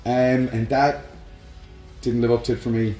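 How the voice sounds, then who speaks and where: A man's voice: mid-range voice, flat delivery, normal speed, dark tone, reverberant, soft background music. A man in his thirties co-hosting a casual podcast, chatty and laughing often between fillers. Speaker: podcast co-host. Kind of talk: casual chat about films and games.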